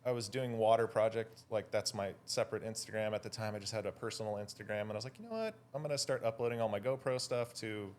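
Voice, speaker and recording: Laid-back Californian guy, lowish voice. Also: quick pace, hardly any pauses, darkish tone, slight background noise. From Hawaii and California, United States. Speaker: guest, drone photographer. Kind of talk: casual conversational interview.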